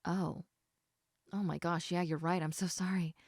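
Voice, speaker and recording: A woman's voice: on the low side, flat delivery, normal speed, dry recording, clean studio sound. A woman voicing a sleepy, affectionate lamia, half snake, in a casual, teasing, rambling way for an intimate roleplay addressed to the listener. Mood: apologetic.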